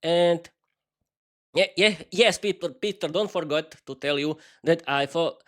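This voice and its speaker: Slovak guy in his thirties rambling on his own podcast, super excited, stumbles and repeats a lot. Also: a little high. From East Slovakia. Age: 30s. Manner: enthusiastic, halting, repeats words and cheers. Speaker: podcast host. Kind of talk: improvised solo podcast monologue.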